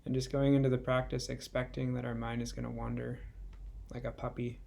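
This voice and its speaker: A man guiding a meditation in a gentle, unhurried way, leaving long pauses between short phrases. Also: medium pitch, flat delivery, creaky. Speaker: meditation guide. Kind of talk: guided body scan meditation.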